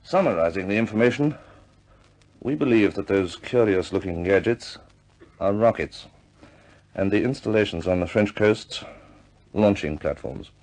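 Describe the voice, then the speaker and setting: An actor playing a grave, businesslike senior British air force officer, briefing in a deliberate, measured way in 1950s war film style. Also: male voice, fairly low pitch, lively intonation, a little mellow, rough recording.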